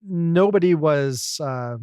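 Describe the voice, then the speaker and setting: A man's voice: on the high side, expressive, takes it slowly, a little mellow, clear, resonant tone, no room sound, studio quality. A man in a casual podcast discussion, talking in a rambling, conversational way as a commentator.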